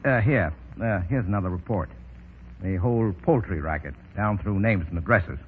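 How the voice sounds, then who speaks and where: A man's voice: fairly low pitch, moderate pace, lightly acted. An actor playing a tough, cocky undercover ex-policeman in a 1930s radio drama, with clipped, taunting talk. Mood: confiding. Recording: noisy muffled 1930s radio recording.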